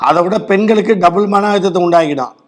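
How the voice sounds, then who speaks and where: A man's voice: sits high, fast. A man with a Tamil Nadu accent, a doctor advising a caller in a blunt, explanatory way during a phone-in consultation.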